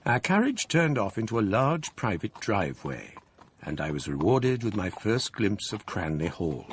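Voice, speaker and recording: An actor narrating as a scholar recalling his youth, measured, wry and reflective. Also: fairly low pitch, sing-song, slight background noise. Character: older male scholar narrating his past. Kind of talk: radio drama narration.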